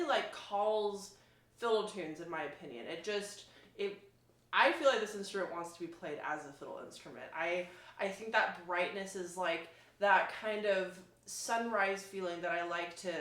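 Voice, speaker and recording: A woman chatting casually as she demonstrates an instrument, enthusiastic and informal, with a halting, searching delivery. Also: fairly low pitch, fairly bright tone. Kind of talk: casual product demo chat. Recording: roomy, echoing sound.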